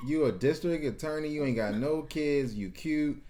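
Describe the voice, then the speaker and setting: A man's voice: fairly high pitch, normal speed, very noisy. A man co-hosting a reality show review in casual chat, easygoing, thinking aloud with restarts and fillers.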